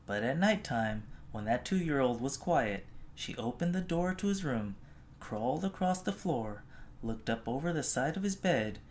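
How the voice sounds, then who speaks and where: A storybook reader telling a children's tale expressively, with gentle, drawn-out phrasing. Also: mid-range voice, lively intonation, slight background noise.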